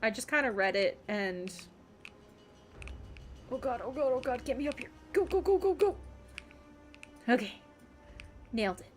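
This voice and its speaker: A woman's voice: on the high side, expressive, normal speed, long pauses, faint music behind. A woman livestreamer giving casual gameplay commentary, muttering questions and reactions to herself as she plays. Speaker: video game streamer.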